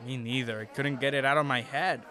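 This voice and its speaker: A man's voice: medium pitch, steady tone, a little fast, a crowd in the background, natural voice. A man playing an anxious, smitten teenage schoolboy in an amateur audio drama. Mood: eager, shy.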